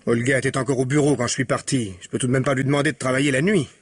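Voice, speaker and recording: Actor as a curt, preoccupied husband, husky voice. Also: male voice, mid-range voice, steady tone, rapid-fire, close to an everyday voice. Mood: irritable, curt. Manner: curt, evasive replies. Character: irritable, preoccupied married businessman, secretly having an affair. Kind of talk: 1950s French radio mystery acting.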